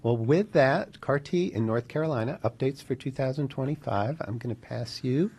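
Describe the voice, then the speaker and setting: A man's voice: medium pitch, moderate pace, darkish tone, breathy edge. A man moderating a live webinar, introducing the speaker, running a poll and asking casual questions with "uh" fillers. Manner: friendly interviewer with "uh" fillers.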